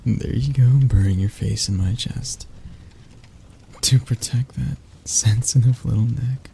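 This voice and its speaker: A man playing a seductive, doting yet predatory castle monster in an intimate ASMR roleplay, close to the mic with a husky, gravelly voice and a slow, teasing manner. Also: mid-range voice, exaggerated voice. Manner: slow, teasing, coaxing, with soft chuckles. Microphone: close microphone.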